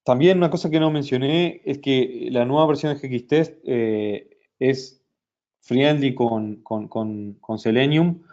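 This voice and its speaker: A man presenting a software product in a webinar, talking slowly with many fillers and restarts. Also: medium pitch, lo-fi sound. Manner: hesitant, many fillers and restarts, speaks slowly in Spanish for a Brazilian audience.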